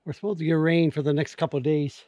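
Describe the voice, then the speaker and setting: A man's voice: fairly high pitch, flat delivery, quick pace, dark tone, no room sound. An older man from Newfoundland with a local accent, talking plainly through his cabin chores into a handheld camera outdoors. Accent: Newfoundland English. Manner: plain, matter-of-fact explaining of chores. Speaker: outdoor vlogger. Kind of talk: casual vlog narration. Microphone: action camera held at arm's length.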